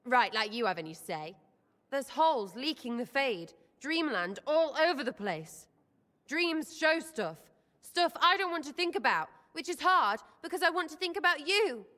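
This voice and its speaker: A woman's voice: sits high, sing-song, moderate pace, bright, forceful tone, long reverb tail, exaggerated voice. A woman playing a brash, irreverent young elf rebel in a video game, with an English accent and blunt, slangy, cheeky delivery. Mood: upset, pleading. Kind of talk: video game cutscene acting.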